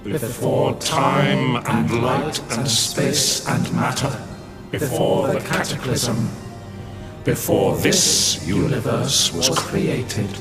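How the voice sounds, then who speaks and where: An actor voicing an ancient, all-knowing evil beast in a science fiction drama, scornful and ominous. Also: male voice, medium pitch, close to an everyday voice. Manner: slow, ominous, contemptuous. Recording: loud music behind the voice.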